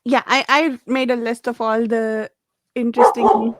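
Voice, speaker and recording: A woman's voice: on the high side, flat delivery, measured pace. A woman in a casual book discussion, talking in a rambling, enthusiastic way.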